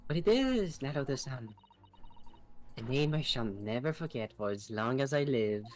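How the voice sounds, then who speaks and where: A man's voice: medium pitch, expressive, moderate pace. A man chatting informally with friends while they play and voice a video game on stream. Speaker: player in a fan-dub stream. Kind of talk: casual group game chat.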